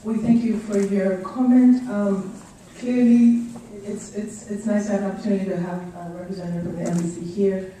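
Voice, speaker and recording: A woman politely moderating a formal question session in a measured way. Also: mid-range voice, steady tone, warm tone. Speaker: moderator of a briefing. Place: meeting room without microphones for speakers. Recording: echoey room, distant microphone, faint noise.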